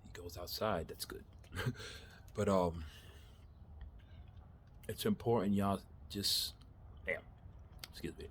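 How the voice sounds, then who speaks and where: A man's voice: low-pitched, slow pace, pauses often, fairly bright tone, a little hiss or hum. A man in his thirties giving a candid, rambling motivational monologue in his backyard, laughing at himself and swearing casually. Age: 30s. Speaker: vlogger.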